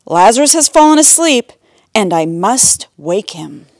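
Lady doing a calm reassuring holy man for kids storytime, solemn, close dry sound. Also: medium pitch, measured pace, no room sound. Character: calm, assured male religious teacher in Bible story.

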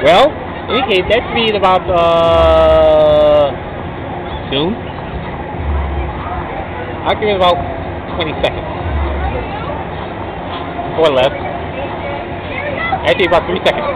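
Young male vlogger on a carnival ride, unhurried halting commentary, heavy crowd racket. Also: on the high side, highs cut off. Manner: halting, interjection-heavy reacting. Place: outdoors, seated on a fairground ride. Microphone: handheld camera at arm's length. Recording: loud fairground crowd and ride machinery noise.

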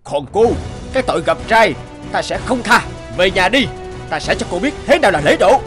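Casting: jealous village bully husband, animated folktale, scolding, very lively intonation, music behind. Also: male voice, high-pitched, brisk. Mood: threatening. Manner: blustering, scolding, threatening.

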